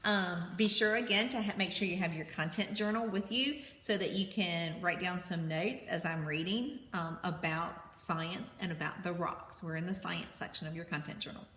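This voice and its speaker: A woman's voice: fairly low pitch, normal speed, hardly any pauses, a bit bright. A woman teacher reading a children's nonfiction book aloud to students, in an instructional way with brief asides. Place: indoor room with heating running. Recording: echoey, reverberant room with background hum. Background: heater noise.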